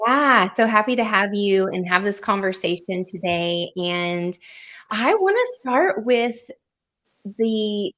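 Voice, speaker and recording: A woman's voice: medium pitch, animated, slow pace, fairly bright tone. A woman hosting a business podcast, reading an upbeat intro and asking interview questions with enthusiasm. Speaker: podcast host.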